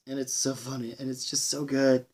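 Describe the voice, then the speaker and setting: A man giving a casual movie review monologue, rambling and halting, with enthusiastic delivery and plenty of swearing. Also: medium pitch, level tone, darkish tone, breathy edge. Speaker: film reviewer, channel host.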